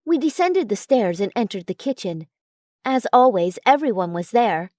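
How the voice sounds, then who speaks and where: A woman reading a first-person story as a narrator, in an expressive way. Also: on the high side, moderate pace, dry recording, clean studio sound. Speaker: audiobook narrator.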